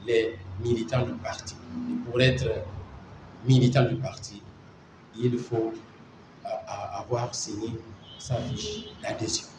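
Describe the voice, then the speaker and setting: A man giving a deliberate, emphatic statement to the camera, with hesitant fillers. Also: medium pitch, reverberant, noisy background. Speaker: party member interviewed.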